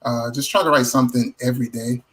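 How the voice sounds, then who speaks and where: A man, a songwriter and singer appearing as a guest, giving practical advice in casual conversation, measured and halting between his points. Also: medium pitch, fairly even intonation, fairly bright tone, poor audio.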